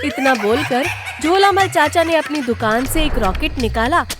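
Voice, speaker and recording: A woman narrates a cartoon story from a script. Her voice sits high in pitch, and she delivers it as storytelling. Music is clearly present behind her. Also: lively intonation, moderate pace.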